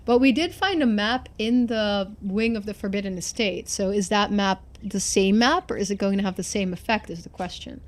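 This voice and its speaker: A woman streamer reading a game's narration aloud and adding casual commentary. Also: fairly high pitch. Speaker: streamer narrating a game playthrough. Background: game music.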